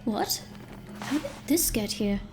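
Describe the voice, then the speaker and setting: A woman's voice: a little high, sing-song, slow pace, music in the background, heavily acted. A woman playing an uneasy diner keeper who grumbles to herself in an audio drama. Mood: uneasy, confused. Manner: muttering to herself.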